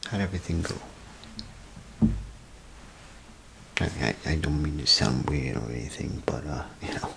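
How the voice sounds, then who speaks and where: A man playing a chatty, folksy bathroom attendant in a soft ASMR role play, speaking in a gravelly, breathy half-whisper with rambling, hesitant phrasing. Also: rumbling low, animated, quick pace, heavily acted, rough recording. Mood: friendly, fussing. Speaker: ASMR performer. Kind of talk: ASMR role play, softly spoken to the listener. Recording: close ASMR recording, clear background noise.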